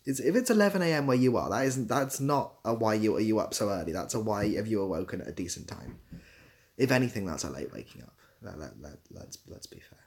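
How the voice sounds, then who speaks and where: A man's voice: fairly low pitch, sing-song, quick pace, vocal fry, darkish tone. A young man hosting a casual livestream, reading out comments and giving dry, self-deprecating, rambling answers. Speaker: livestream host.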